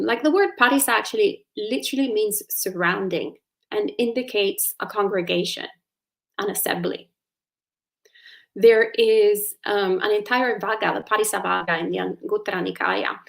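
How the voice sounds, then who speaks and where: Woman, Italian accent, Buddhist nun, gravelly edge, middle pitch, measured teaching talk, frequent ums. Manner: thoughtful, with many ums and uhs and restarts. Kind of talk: explanatory dharma talk.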